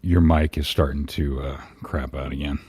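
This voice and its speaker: A man with a very deep, husky voice chatting casually as co-host of a livestream. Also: fairly even intonation, normal speed, darkish tone. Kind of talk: casual chat.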